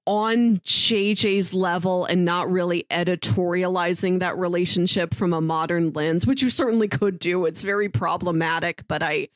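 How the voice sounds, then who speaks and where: A woman's voice: lowish voice, fairly even intonation, moderate pace, few pauses, no echo. A woman talking casually and thoughtfully as a podcast co-host, reviewing a story in conversation.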